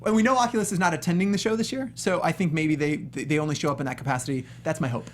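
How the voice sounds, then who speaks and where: A man speaking quickly in a casual panel chat about video games, enthusiastic and slangy as a co-host. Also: on the high side. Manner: enthusiastic, slangy, agreeable interjections. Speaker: podcast co-host.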